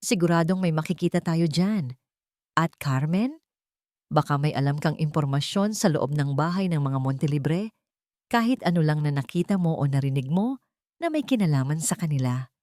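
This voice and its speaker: A woman voicing a serious, fatherly old male lawyer in an audio story, grave and deliberate. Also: deep voice, animated, dark tone, dry recording, studio quality. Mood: grave, urging.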